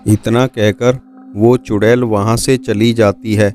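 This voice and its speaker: A man's voice: on the low side, level tone, slow pace, darkish tone. A man reading scripted narration of a horror folk tale for a cartoon. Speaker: storyteller. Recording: music behind the voice.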